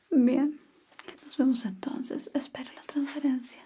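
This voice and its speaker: A woman whispering softly and playfully as a language tutor in an ASMR roleplay. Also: high-pitched, steady tone, takes it slowly. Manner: soft whisper, playful teasing.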